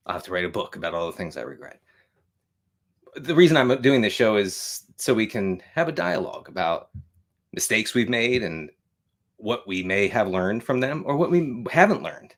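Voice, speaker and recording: An American man aged forty hosting a casual livestream monologue, rambling and repeating himself with wry, self-deprecating asides. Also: medium pitch. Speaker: host of a live show on fatherhood.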